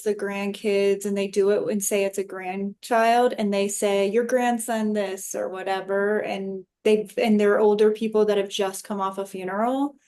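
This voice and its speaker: Mid-pitched woman, casual and anecdotal. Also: darkish tone. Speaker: discussion participant.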